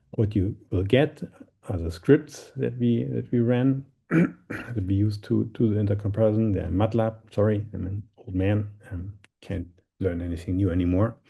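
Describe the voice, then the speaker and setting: A middle-aged man with a background presents science in a very dark, mellow voice. There is a lot of fry and husk in it. He speaks haltingly, often doubling back on his words as he explains. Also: lowish voice. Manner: halting, searching for words, repeating himself.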